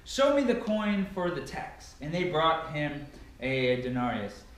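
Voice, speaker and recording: A man's voice: a little high, big pitch swings, unhurried. A man giving a solemn, declamatory dramatic reading of scripture as an amateur reader. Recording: very echoey, reverberant room.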